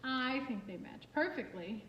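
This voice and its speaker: A woman reading a picture book aloud for children in an expressive, singsong way, with asides and questions to young listeners. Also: high voice, measured pace, dull audio. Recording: roomy, echoing room sound.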